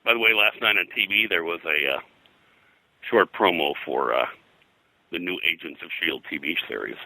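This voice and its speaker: A man with a very deep voice and a hard, very bright edge speaks as an expert guest. He rambles and halts, repeating words as he explains in an informal interview. The sound is dry. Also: moderate pace, creaky, no room sound, low-quality recording. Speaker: guest, pop culture historian. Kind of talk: informal interview talk about pulp fiction history.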